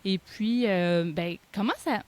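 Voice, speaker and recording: A woman's voice: medium pitch, slow pace, a little mellow. A woman with a Quebec French accent hosting an informal interview, speaking in a hesitant, self-correcting way. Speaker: interviewer and host. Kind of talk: casual interview for an online channel.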